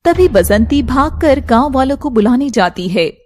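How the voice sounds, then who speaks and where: A woman's voice: high voice, expressive, measured pace, a little mellow. A woman giving scripted narration for a cartoon story.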